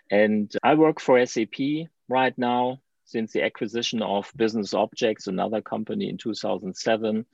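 A man's voice: fairly low pitch, creaky, breathy edge, no echo. A man from Germany with a German accent, talking as a guest in a conversational interview, chatty, with restarts and light laughs. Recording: dull, lo-fi sound.

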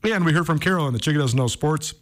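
A man's voice: on the high side, fast, fairly bright tone. An American man hosting a sports talk radio show, commenting casually and thinking aloud as he goes.

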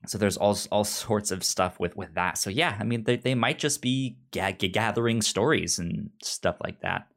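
A man's voice: on the low side, moderate pace, vocal fry, a bit bright. A man co-hosting a podcast, chatting casually about pop culture, with a stutter that repeats syllables and words. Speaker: podcast co-host.

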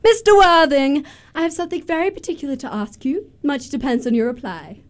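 Haughty young society lady , played by an actress, high voice, imperious and precise, period radio comedy. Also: a little fast, close to an everyday voice. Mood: stately, probing. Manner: precise, declamatory, pompous. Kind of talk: staged radio comedy of manners.

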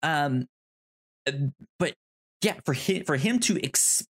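A podcast co-host casually discussing films and comics in a halting way, often repeating sounds and words. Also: mid-range voice, expressive, fairly bright tone. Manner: halting, frequent stammered repetition of sounds and words.

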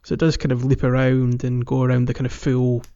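A man's voice: medium pitch, completely flat delivery, a little fast, mellow, clean tone. Casual male podcast host, mid-range voice, explanatory, slightly muffled lo-fi audio. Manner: explanatory, guiding the conversation with questions. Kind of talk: casual game walkthrough chat.

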